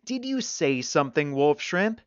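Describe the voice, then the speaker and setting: A man's voice: a little high, very animated, measured pace, smooth, no room sound, lo-fi sound. A man voicing a big, confident young boy in a storybook reading. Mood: mocking, sneering. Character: big confident boy, frightened at home, sneering bully.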